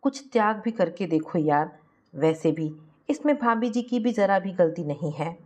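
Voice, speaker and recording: A woman's voice: fairly low pitch, expressive, moderate pace, a little mellow. A woman voicing a kind, principled man who talks in an earnest, moralizing way in a family story. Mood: earnest urging. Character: kind, principled man advising his friend.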